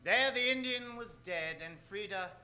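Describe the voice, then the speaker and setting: Male old-time radio narrator-host: mock-serious, theatrical, very high pitch, sharp bright projection. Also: animated, unhurried, faint music behind.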